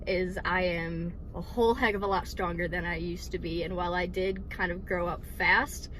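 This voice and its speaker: A woman's voice: mid-range voice, fairly bright tone, slight background noise, poor audio. Giggly woman in her twenties. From Michigan. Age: 20s. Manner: giggly, many ums and likes. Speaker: vlogger sharing testimony. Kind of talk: casual personal testimony.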